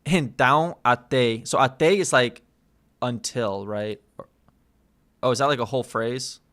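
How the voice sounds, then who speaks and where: Guy learning portuguese, talks real slow, keeps repeating words while he figures it out. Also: medium pitch, a bit bright. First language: English. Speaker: language learner and vlogger. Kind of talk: casual study vlog, thinking aloud.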